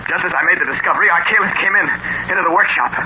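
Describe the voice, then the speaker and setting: An actor playing a distraught man just freed from a spell, urgent and halting, in 1940s radio acting style. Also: sits high, bright, forceful tone. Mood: anxious, urgent. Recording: dull old radio recording, faint noise.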